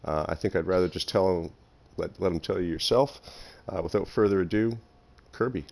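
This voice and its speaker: A man hosting a casual interview, effusive and quick to giggle, with many ums. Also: low voice, creaky, darkish tone. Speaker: podcast host.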